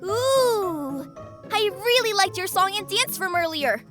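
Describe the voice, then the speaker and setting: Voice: very high-pitched, animated, takes it slowly, sharp tone, soft background music, exaggerated voice. A performer voicing an eager, sweet, childlike little creature in a cartoonish audio drama, with a bubbly, wide-eyed manner. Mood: excited.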